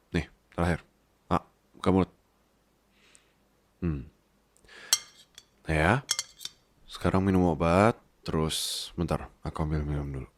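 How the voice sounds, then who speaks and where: A man playing a bossy, scolding but doting boss husband, teasing and tender in an intimate ASMR roleplay. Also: very deep voice. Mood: firm coaxing. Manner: teasing, nagging, tender, slips into English.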